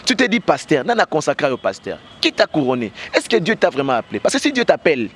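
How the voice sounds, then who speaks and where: Emphatic Congolese man, higher voice, with a cold. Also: wildly swooping pitch, quick pace, a bit bright, slightly rough. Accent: Congolese French. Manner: passionate, emphatic, heavy "bah" fillers, gestures while talking. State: has a cold. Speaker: interview guest. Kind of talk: street interview, mixing French and Lingala. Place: outdoors on a street by parked cars. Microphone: handheld mic with fur windscreen held by interviewer.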